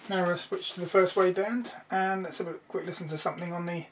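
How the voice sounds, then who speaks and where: A man's voice: sits high, flat delivery, quick pace, a bit bright. A man giving a casual spoken demonstration, thinking aloud in an informal, hesitant way. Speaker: presenter demonstrating a homemade valve radio. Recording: distant mic with background hiss and noise.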